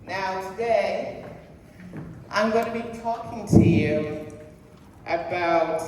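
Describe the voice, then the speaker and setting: A woman in middle age delivers a personal motivational talk, taking her time over each phrase. She turns to the listeners with questions now and then. Her voice rings through a big reverberant hall, caught from far off. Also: lowish voice. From Jamaica. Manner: slow, deliberate, asks the audience questions. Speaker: presentation speaker. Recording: echoey hall heard from a distance.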